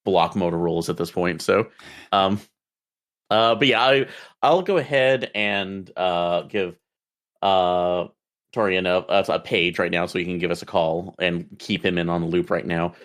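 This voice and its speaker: A man playing a tabletop role-playing game, speaking casually in character in a halting, tentative way. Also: low voice.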